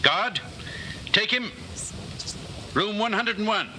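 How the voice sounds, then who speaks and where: An actor playing a cold, controlling party inquisitor in a 1940s radio drama, commanding, deliberate and menacingly calm. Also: male voice, high voice. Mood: stern, commanding. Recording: noisy old 1940s radio broadcast.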